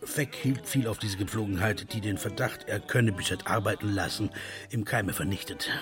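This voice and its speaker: A man's voice: lowish voice, moderate pace, music in the background. A man narrating a literary radio play as one of its storytellers.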